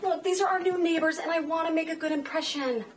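A woman's voice: very high voice, fast, a little hiss or hum. An actress playing a sociable wife who pleads with her withdrawn husband to mingle. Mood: coaxing. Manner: pleading, coaxing.

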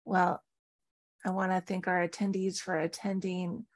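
A woman's voice: lowish voice, flat delivery, unhurried. A woman hosting a webinar on a video call, reading out questions and moderating. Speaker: webinar host.

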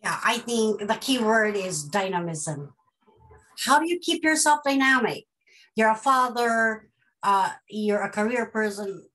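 A woman's voice: mid-range voice, lively intonation, measured pace, a bit bright, rough recording. A middle-aged woman hosting a casual interview on a video call from home, playful and chatty, talking around her points. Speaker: host. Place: home room.

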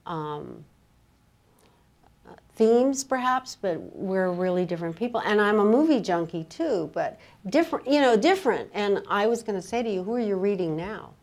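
An older woman speaks in a medium-pitched voice during a literary conversation on stage. She is casual and emphatic, repeating phrases as she makes her points as a writer. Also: animated, moderate pace, a little mellow. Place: on stage in an auditorium before an audience.

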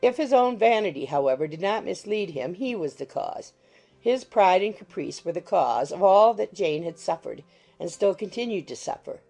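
A woman's voice: mid-range voice, lively intonation, moderate pace, mellow, soft background music. A woman reading scripted literary narration for an audiobook.

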